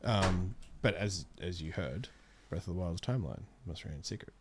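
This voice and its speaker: Low-voiced man in casual conversation. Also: expressive, moderate pace, noisy background. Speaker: interview guest, amateur voice actor. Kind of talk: casual chat about video games. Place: small indoor streaming room. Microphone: close studio microphone.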